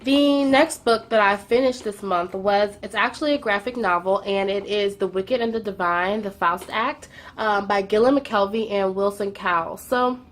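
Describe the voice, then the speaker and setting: A woman's voice: mid-range voice, normal speed, hardly any pauses. A young woman chatting through her monthly book wrap-up, a bit congested from a cold, with frequent "um"s and words doubled for emphasis. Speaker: book vlogger. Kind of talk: casual monthly reading wrap-up.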